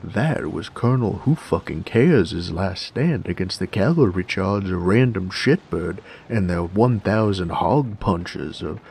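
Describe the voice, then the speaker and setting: Casting: gushing, long-winded rich brother as mockery, done by a man, pitched well up and swooping, for roleplay audio drama. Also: expressive, measured pace, creaky, few pauses, a little mellow, husky, full character voice. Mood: mocking, exasperated. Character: bandit's mocking impression of a prattling rich brother.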